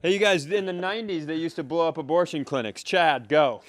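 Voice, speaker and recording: A man's voice: on the high side, fairly quick, background noise. A man co-hosting a podcast, bantering casually with a dry, sarcastic streak. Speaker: podcast co-host.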